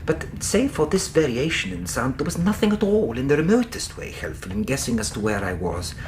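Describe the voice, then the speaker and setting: An actor playing an anxious, well-spoken Victorian gentleman in a radio drama, formal and precise in manner. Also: medium pitch, very animated, brisk, a little hiss or hum, natural voice. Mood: earnest recounting.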